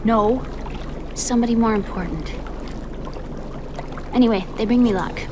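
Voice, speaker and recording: A woman acting a high, girlish young woman in a cinematic video game. She is vulnerable and stubborn, with a hesitant, plaintive delivery. Clear watery noise sits behind her voice. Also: moderate pace, natural voice. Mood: shy.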